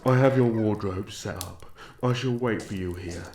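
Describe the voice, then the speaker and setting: A man's voice: lowish voice, moderate pace, a little mellow, close to an everyday voice. A man playing a polite, proud elf royal servant and tailor in a fantasy ASMR roleplay, courteous and assured. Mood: deferential. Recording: echoey room, noticeable background noise.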